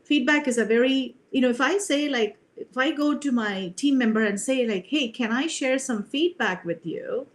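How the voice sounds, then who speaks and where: Earnest woman coach from India, higher voice. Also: normal speed, few pauses. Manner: earnest, many "you know" and "right?" fillers. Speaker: leadership coach, guest. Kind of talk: reflective interview talk.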